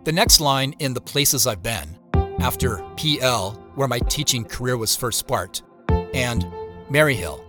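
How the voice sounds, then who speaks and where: A man's voice: mid-range voice, lightly acted. An actor playing a troubled, guarded man in an audio drama, reflective and earnest as he talks. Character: troubled, guarded man confiding in his sister and narrating. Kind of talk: audio drama acting and first-person narration.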